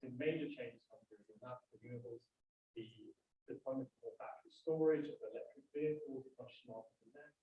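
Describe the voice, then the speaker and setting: A British man speaks briskly as he presents his company at a live event, fluent and businesslike. His voice comes from a distance through heavy room echo, with faint hiss behind it. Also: medium pitch, phone-quality sound. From United Kingdom. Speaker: company representative on a panel. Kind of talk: explanatory company introduction.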